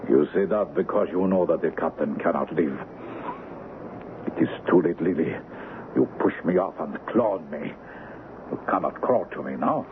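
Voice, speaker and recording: A menacing, suspicious ship's first mate in an old radio drama. The voice is extremely deep and moves in slow, swinging, stilted phrases. It comes through a thin, noisy broadcast recording. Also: male voice, lively intonation, phone-quality sound. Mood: resentful, wary. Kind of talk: 1970s radio drama acting.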